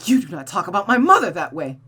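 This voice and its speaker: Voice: mid-range voice, big pitch swings, quick pace, pushed and bright, husky, pitched above the performer's own voice, heavily acted. A performer voicing a vengeful, unhinged young woman devoted to her mother, in intense emotional outbursts for a visual novel. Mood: enraged.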